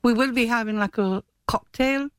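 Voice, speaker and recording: A woman's voice: a little high, moderate pace, clean tone, no room sound, clean studio sound. A woman chatting as a guest in a radio interview. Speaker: radio guest, stage manager. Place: radio studio.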